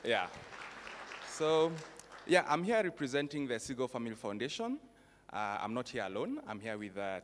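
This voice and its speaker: A young man from Rwanda presenting an award at an event, talking in a relaxed, self-joking way with some fillers, into a handheld microphone on a stage. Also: mid-range voice. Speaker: foundation representative presenting an award. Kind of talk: informal speech at an awards event. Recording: reverberant event hall.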